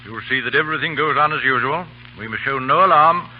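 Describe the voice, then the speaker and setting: An actor playing a stern, duty-bound older colonel in an old radio drama, clipped, formal and commanding. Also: male voice, medium pitch, quick pace, close to an everyday voice. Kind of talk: 1930s radio drama acting. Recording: dull, noisy old radio broadcast.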